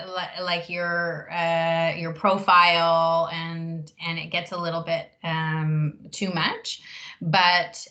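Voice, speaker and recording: A woman recruiter answering questions in an online workshop, measured and reassuring, pausing often to find her words. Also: fairly low pitch, a bit bright, lo-fi sound. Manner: measured, reassuring, with frequent pauses. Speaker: recruiter leading a career workshop.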